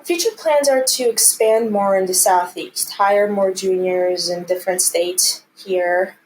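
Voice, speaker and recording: A woman's voice: medium pitch, unhurried, a little mellow, a little hiss or hum, low-quality recording. A woman in her thirties from Armenia, speaking earnestly and encouragingly as she answers interview questions conversationally. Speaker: interview guest, commercial real estate team lead.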